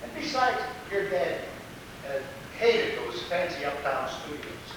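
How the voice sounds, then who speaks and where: Very echoey, distant stage recording with clear background noise. A man acts as a slick, hard-boiled middle-aged art dealer. He sounds cynical and defensive, with a high voice for a man. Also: big pitch swings, measured pace. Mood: worldly, self-justifying. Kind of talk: amateur stage play acting.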